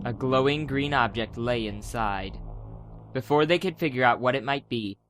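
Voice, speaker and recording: A man's voice: mid-range voice, normal speed, background noise. A man narrating a fantasy adventure story as a scripted, dramatic audiobook. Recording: music behind the voice.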